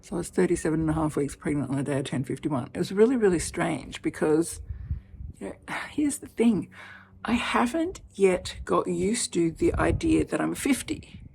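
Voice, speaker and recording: Heavily pregnant woman, fifties, chatty off-the-cuff vlogger, some vocal fry, faint hiss behind. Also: on the low side, moderate pace, low-quality recording. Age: 50s. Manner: chatty, animated, laughs often. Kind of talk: unscripted personal vlog.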